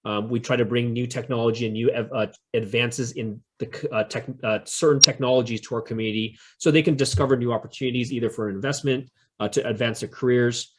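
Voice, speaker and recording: A man's voice: medium pitch, steady tone, quick pace, fairly bright tone, slight background noise. A man hosting a community interview, talking with many fillers in a friendly, curious way. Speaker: interview host. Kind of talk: community event introduction and interview.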